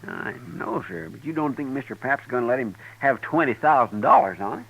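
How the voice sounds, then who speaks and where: An actor playing a young loyal frontier deputy with a folksy, plaintive drawl, in a 1950s radio drama. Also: male voice, mid-range voice, expressive, brisk, husky, highs cut off. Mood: wondering. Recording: vintage radio recording with hiss.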